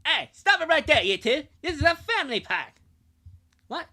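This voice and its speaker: Loud, high-pitched, indignant Canadian park officer put on by a streamer. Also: very animated, normal speed, exaggerated voice. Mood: indignant, officious.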